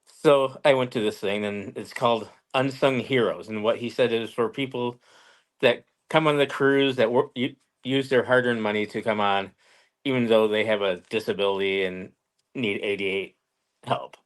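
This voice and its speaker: A man's voice: mid-range voice, fairly even intonation, moderate pace. A man as an interview guest, chatting casually and telling stories in a plain-spoken way with short simple answers. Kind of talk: casual storytelling chat.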